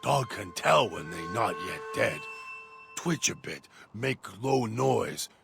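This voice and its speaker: A man doing a gravelly feral dog-creature. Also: fairly low pitch, lively intonation, slow pace, exaggerated voice. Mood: brooding. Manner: clipped broken speech about himself in third person. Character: broken feral male dog-creature, servile and menacing. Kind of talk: game character monologue. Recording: music playing underneath.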